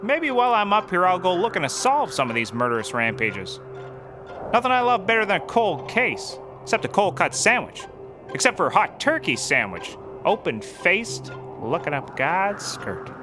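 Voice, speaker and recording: Cocky, dense gumshoe for a comedy podcast: high voice, wide swings, music underneath. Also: male voice, normal speed. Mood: dreamily confident. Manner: pompous, rambling, deadpan self-assurance. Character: cocky dim-witted male private detective, oblivious and drug-loving. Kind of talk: scripted comedy audio drama.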